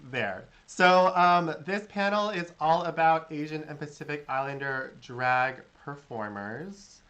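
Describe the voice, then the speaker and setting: Host from California, mid pitch, talks casually with lots of ums over a video call. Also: a little slow, a bit bright, highs cut off. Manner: chatty, filler-heavy, upbeat. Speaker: panel host. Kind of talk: casual online panel chat.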